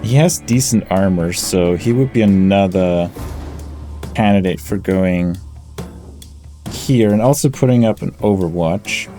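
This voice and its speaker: A man with a deep, mellow voice does casual gaming commentary. He thinks aloud at a slow, deliberate pace and stays low-key. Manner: deliberate, low-key, talks through tactics. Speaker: gaming YouTuber. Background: game music.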